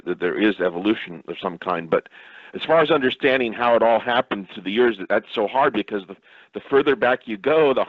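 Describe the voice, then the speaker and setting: Low-voiced man, dry muffled sound. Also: dry recording. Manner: measured, reflective, hedging. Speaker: panel guest. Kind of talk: casual discussion.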